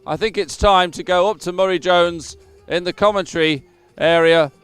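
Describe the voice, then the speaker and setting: A man makes a handover announcement at an event. His voice is clean and resonant and sits a little higher than average. Music plays behind him, and the sound is dry. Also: normal speed, fairly bright tone, smooth.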